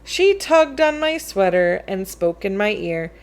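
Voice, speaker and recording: Slow-paced woman reading a children's story. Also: medium pitch, sing-song. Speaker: read-aloud storyteller. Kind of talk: expressive children's picture book read-aloud in verse.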